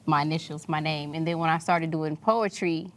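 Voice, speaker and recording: A woman talks as an interview guest. Her pitch is a bit low. She speaks conversationally, as a poet describing her own work. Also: steady tone, normal speed.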